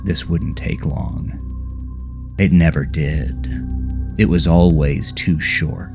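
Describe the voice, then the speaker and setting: A man narrating a scripted horror story in a measured, dramatic way, letting phrases trail off low. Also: vocal fry, music in the background. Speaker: audiobook narrator.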